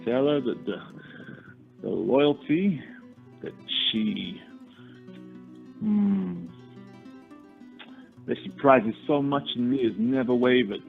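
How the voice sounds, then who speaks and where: A man playing an earnest, formal gentleman suitor in a radio play, wordy and slightly condescending. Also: medium pitch, sing-song, takes it slowly, vocal fry, long pauses, heavily acted. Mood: earnest, impatient. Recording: narrow phone-like sound, faint guitar music.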